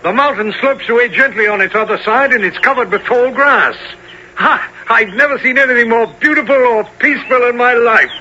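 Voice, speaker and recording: An actor playing an earnest gentleman explorer with a theatrical manner in a radio drama. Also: very high voice, brisk, full character voice. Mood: elated. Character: earnest, anxious male English gentleman explorer. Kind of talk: 1970s radio drama acting. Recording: dull, noisy old radio recording.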